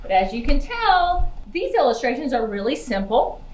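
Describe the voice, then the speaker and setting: A woman's voice: high-pitched, big pitch swings, normal speed, darkish tone. A woman giving a chatty children's library book talk, using simple words and putting questions to young listeners. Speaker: library outreach puppeteer and presenter. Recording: roomy, echoey indoor sound.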